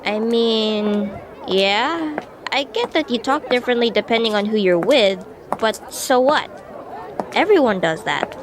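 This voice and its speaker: Skeptical student girl played by a woman, high voice, casual and blunt, traffic noise behind her. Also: lively intonation, a little slow. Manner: questioning, informal, blunt. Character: skeptical female student classmate, casual and questioning. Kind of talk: amateur radio drama, casual chat. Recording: noisy street ambience with vehicles. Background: outdoor urban noise, vehicles.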